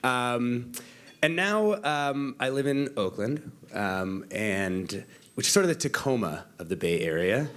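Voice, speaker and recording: A man's voice: mid-range voice, fairly bright tone. An American man from Washington State conducting an on-stage interview in an informal, joking manner. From Washington State, born in Mexico City. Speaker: interviewer, staff writer.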